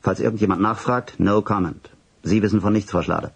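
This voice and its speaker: A man's voice: fairly low pitch, fairly quick, gravelly, breathy edge. An actor playing a flustered, secretive boss in a radio drama, officious and clipped, hesitating and repeating himself as he gives orders. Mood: brisk, secretive. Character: flustered, secretive male boss, a commanding doctor dictating orders. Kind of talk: radio drama acting, phone calls and dictation.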